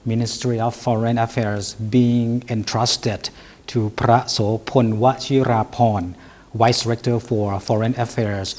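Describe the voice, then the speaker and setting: A man's voice: mid-range voice, little pitch movement, normal speed, a little mellow. A man hosting a university TV program, reading news and interviewing guests with frequent fillers and restarts. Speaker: TV program host.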